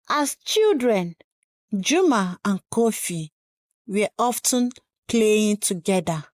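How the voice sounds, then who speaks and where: A woman narrating a scripted folktale in a measured, dramatic storytelling manner. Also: medium pitch, no echo, studio quality.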